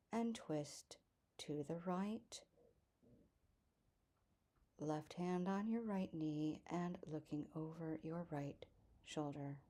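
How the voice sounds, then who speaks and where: Female yoga teacher, low pitch, mellow tone, calm guided instruction, soft trailing endings.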